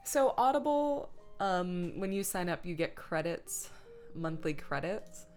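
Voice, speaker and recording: Slow-talking, playful woman livestreamer over guitar music. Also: fairly low pitch, sing-song, darkish tone. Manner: playful, silly, self-mocking asides; mutters and hums to herself while working. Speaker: streamer and host. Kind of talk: casual livestream chat while editing. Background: background guitar music.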